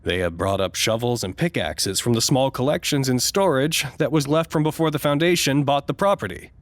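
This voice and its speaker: A man's voice: mid-range voice, no room sound, natural voice. Formal, precise man, an actor, dryly curious. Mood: dryly amused. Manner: measured, formal, wry. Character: formal, precise male staffer narrating a log, dryly curious. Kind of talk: scripted audio drama monologue.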